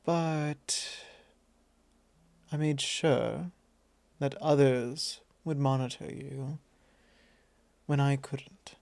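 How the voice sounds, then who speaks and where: A suave vampire lord, acted out by a man in an intimate ASMR roleplay, speaks with mellow, gravelly courtliness. He is possessive and softly commanding, teasing as he goes, and sounds very close and dry. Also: mid-range voice, steady tone, drawn-out words, pauses often, no echo. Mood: gently chiding.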